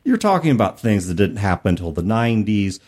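A man with a low voice that moves up and down a lot as he talks through tabletop gaming. He explains in a casual podcast style, breaking off for rhetorical questions and quick asides. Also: sing-song, darkish tone. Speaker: podcast co-host.

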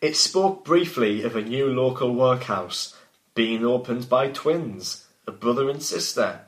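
A man's voice: medium pitch, expressive, unhurried. A man reading his own gothic story aloud and breaking off to banter about it. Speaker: author and reader. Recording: roomy, echoey home recording.

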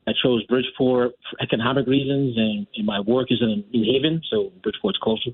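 A man's voice: medium pitch, brisk, slightly rough, no room sound. A middle-aged man talks casually as a radio guest, circling back and correcting himself as he goes. His voice comes through a thin, narrow phone line. The sound is dry, with no room around it. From United States. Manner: rambling with restarts and self-corrections. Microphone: telephone.

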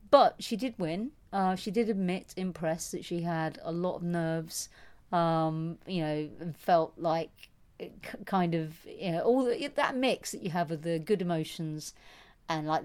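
A woman's voice: lowish voice, darkish tone. A woman co-hosting a casual tennis podcast, chatty and self-mocking, with frequent fillers and restarts. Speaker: podcast co-host.

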